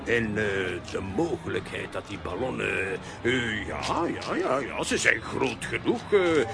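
An actor playing an excitable, easily flustered comic man who stammers and repeats himself in a children's radio play. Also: on the low side, unhurried, vocal fry, music in the background, heavily acted.

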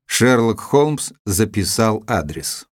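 An actor narrating a detective audio drama as a composed gentleman storyteller, measured and scripted. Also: on the low side, expressive.

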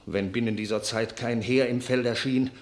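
A man's voice: mid-range voice, steady tone, moderate pace, lightly acted. A man playing a pleading town councilman. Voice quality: imploring, solemn. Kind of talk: classic German radio drama.

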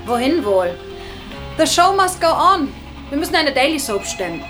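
An actress playing a world-weary television colleague in a radio drama, dry and sarcastic. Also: female voice, high-pitched, very animated, moderate pace, music in the background. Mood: sardonic. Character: sardonic, world-weary woman in TV production.

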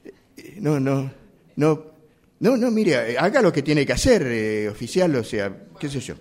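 An actor playing a flustered, evasive driver in a radio theatre exercise, stammering and repeating himself. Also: male voice, medium pitch, sing-song, measured pace, darkish tone. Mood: indignant, flustered. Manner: stammering, repetitive, hesitant. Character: flustered, evasive male driver pleading with police. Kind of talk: improvised radio theatre monologue.

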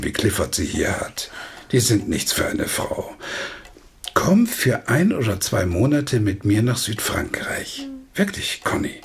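An actor in a German radio drama playing a stout, blunt old father who urges deliberately, with pointed pauses. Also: fairly low pitch, gravelly, exaggerated voice. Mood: coaxing, urgent. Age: older.

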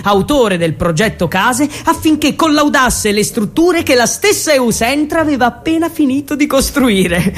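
High-voiced Italian man narrating dramatically. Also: fairly bright tone. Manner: declamatory, deliberate storytelling. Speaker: actor and narrator of a theatre monologue. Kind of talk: dramatic scripted theatrical narration for radio.

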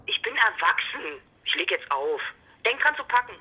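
An actress playing a strong-willed, sceptical journalist in a radio crime drama, curt and determined, heard through a telephone filter. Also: female voice, mid-range voice, sing-song, normal speed, slightly rough. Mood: curt, irritated. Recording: dull, narrow-band telephone line.

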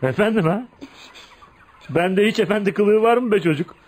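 A feared tough man w a good heart, radio drama, blunt folksy talk, voice a bit high. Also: moderate pace, darkish tone, slightly rough. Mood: earnest, friendly. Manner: blunt, probing, folksy, punctuated with "ha?". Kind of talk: Turkish radio theater acting.

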